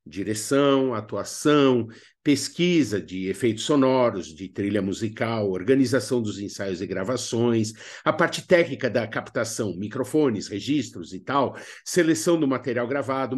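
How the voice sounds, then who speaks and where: A Brazilian man narrating an instructional video as a workshop teacher, speaking expressively and didactically with emphatic pauses. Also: medium pitch.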